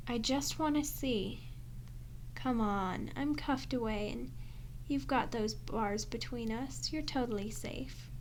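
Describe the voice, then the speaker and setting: A woman acting a starving, captive vampire in an ASMR roleplay, proud and flirtatious, with teasing sarcasm that often drops into breathy murmurs. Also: fairly high pitch, slight background noise. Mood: pleading, strained.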